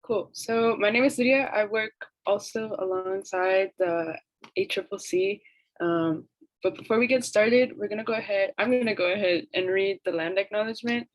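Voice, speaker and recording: A woman's voice: medium pitch, moderate pace, no echo. A woman on a video call reading a land acknowledgement in a careful, earnest way. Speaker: outreach program staff. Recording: dull dry video-call audio.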